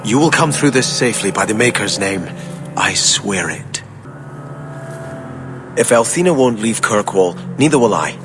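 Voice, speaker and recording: A man's voice: on the low side, lively intonation, a little slow, long pauses, music in the background. Earnest, devout young nobleman. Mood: pleading, resolute. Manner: earnest, impassioned. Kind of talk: video game voice acting.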